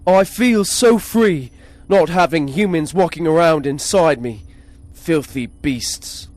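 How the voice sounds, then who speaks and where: An actor playing a polite, formal shipboard artificial intelligence with a gleeful murderous streak, in a 1950s-style space radio serial. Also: male voice, fairly high pitch, takes it slowly, darkish tone, faint music behind. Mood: gloating. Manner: formal, courteous, precise wording. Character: polite, formal male ship's computer with murderous glee.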